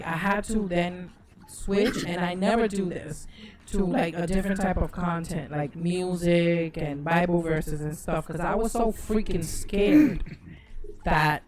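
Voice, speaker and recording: A woman co-hosting a casual livestream talk, rambling and gushing with many restarts. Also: lowish voice. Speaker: co-host.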